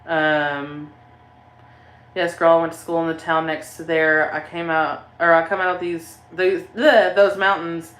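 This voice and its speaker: Low-voiced Southern woman chatting. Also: little pitch movement, unhurried, a bit bright, echoey room. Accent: Southern American (Kentucky). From southeastern Kentucky, USA. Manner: chatty and rambling, many "um" and "like", laughs often. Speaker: paranormal channel host reading live chat. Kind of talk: casual livestream chat.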